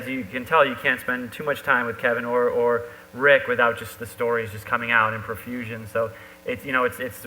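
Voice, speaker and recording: A man talks as an author at a live book event, in a halting way with many repeated words. His voice is very bright and forceful, at a middling pitch. It rings in a reverberant hall with a faint hum behind it. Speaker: author on a discussion panel. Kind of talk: live on-stage conversation about a book.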